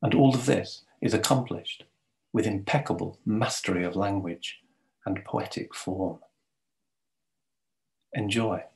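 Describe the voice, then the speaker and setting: A man giving a formal, measured scripted introduction in the manner of an academic presenting a speaker. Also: fairly low pitch, gravelly.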